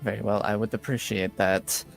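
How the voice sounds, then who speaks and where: A man playing a reserved, formal nobleman in a tabletop game, speaking in a stiff and measured way. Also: lowish voice, steady tone, creaky, soft background music. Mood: curt. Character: formal, reserved nobleman proud of his home city.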